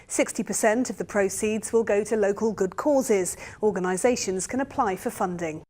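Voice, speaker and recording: British female news presenter, mid-pitched, scripted bulletin read. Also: normal speed, a little mellow.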